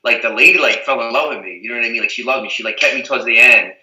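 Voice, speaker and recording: Young man from the Azores, rambling casual talk, very bright tone, roomy echo, sounds far from the mic. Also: medium pitch, rapid-fire. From Portugal, the Azores. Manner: rambling, heavy use of "like" and "you know what I mean". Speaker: guest, reality TV contestant. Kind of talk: casual interview chat.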